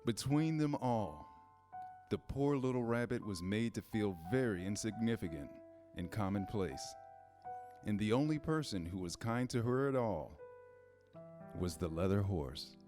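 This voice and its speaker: A man's voice: lowish voice, expressive, unhurried, darkish tone, soft background music. A man narrating a children's story as scripted reader's theater.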